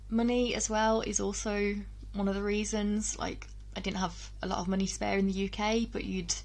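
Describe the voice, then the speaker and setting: A young woman talks casually to her camera, pausing on ums and doubling back on her words. Her voice sits at a middling pitch, and her talk is relaxed and personal. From England, UK. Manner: chatty, frequent ums and restarts, laughs between thoughts. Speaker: vlogger.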